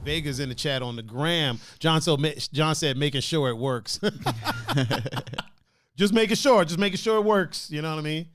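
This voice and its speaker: Animated laid-back man, joking co-host. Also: fairly high pitch, normal speed, no room sound, studio quality. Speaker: podcast co-host. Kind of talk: casual chat, reading live chat.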